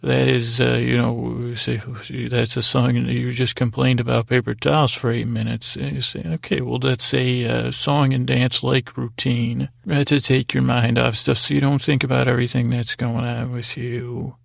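A man hosting a bedtime podcast in a lulling, soothing, gravelly voice, meandering and halting with long pauses. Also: medium pitch, flat delivery, dry recording, clean studio sound. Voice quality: gravelly, creaky. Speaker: podcast host. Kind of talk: rambling bedtime podcast monologue.

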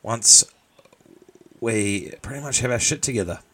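A man's voice: medium pitch, animated, measured pace, bright, forceful tone, slight background noise. Chatty man hosting a podcast. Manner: laughs often, chatty, reads out stats. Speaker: podcast host. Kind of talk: casual live podcast hosting.

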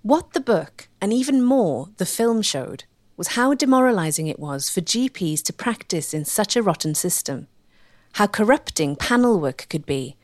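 Female documentary narrator, scripted read, medium pitch, dry studio-tight sound. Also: animated, normal speed, dry recording.

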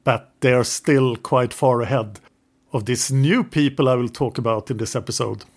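A man's voice: medium pitch, normal speed. A man hosting a history podcast, leading the talk with long explanations and light teasing. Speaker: podcast host. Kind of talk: explaining history in casual chat.

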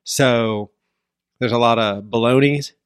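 A man's voice: mid-range voice, slow, clear, resonant tone, no room sound. A man co-hosting a podcast in casual chat, with dry, wry teasing. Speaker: podcast co-host.

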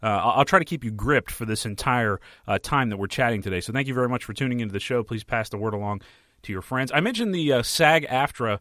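A hoarse man talks through his podcast with lots of fillers and restarts, sharing strong opinions. His voice sits at a middle pitch. The recording is dry, with no room sound. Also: animated, quick pace, a bit bright, dry recording. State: hoarse from a flu. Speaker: podcast host and film critic. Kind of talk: casual solo podcast talk.

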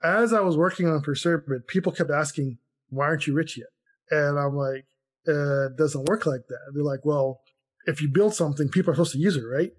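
Higher-voiced man chatting on a podcast. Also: fairly quick. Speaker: podcast co-host and entrepreneur. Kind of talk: casual conversational talk.

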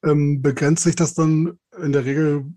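A man's voice: a little high, little pitch movement, moderate pace, dark tone. A man asking casual questions as a podcast interviewer.

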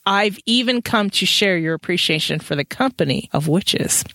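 A woman's voice: lowish voice, lively intonation, moderate pace, fairly bright tone, dry recording. A woman reading aloud as a sneering male vampire who taunts his father in a lazy, mocking way. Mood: taunting.

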